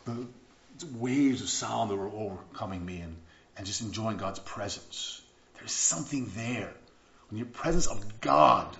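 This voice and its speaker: A man preaching a sermon as pastor, chatty and animated, often restarting his sentences. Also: mid-range voice. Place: church sanctuary. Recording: echoey church room, slightly dull sound.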